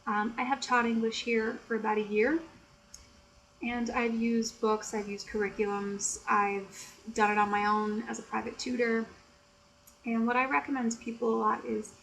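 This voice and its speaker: An American woman chatting softly and explaining things in a casual, teacherly way, with frequent "you know". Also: mid-range voice, slight background noise, rough recording. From United States. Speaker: ASMR creator and English tutor. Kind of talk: soft-spoken ASMR chat.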